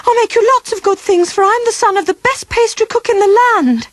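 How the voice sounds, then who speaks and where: An eager, anxious little boy from a fairy tale, acted out by a woman reader. The voice is pitched up and full of exclaiming and pleading. It is a dry recording. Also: brisk, no echo. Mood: frightened pleading. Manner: breathy gasps, pleading, exclaiming. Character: small boy, pastry cook's son, eager and frightened.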